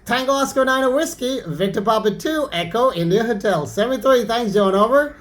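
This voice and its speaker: A man's voice: very high voice, lively intonation, a little fast, fairly bright tone. A man chatting casually and informally as an amateur radio operator, in a friendly way. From Anguilla, Caribbean. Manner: friendly, informal, says "man" and "over" often. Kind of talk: casual ham radio rag-chew.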